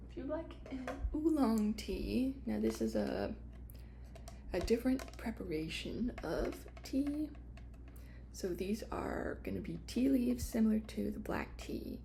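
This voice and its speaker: A woman doing a soft-spoken ASMR shop roleplay, explaining products in a casual, hesitant, unscripted way. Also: on the high side, expressive, takes it slowly, creaky, slight background noise. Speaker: ASMR creator playing a tea shop attendant.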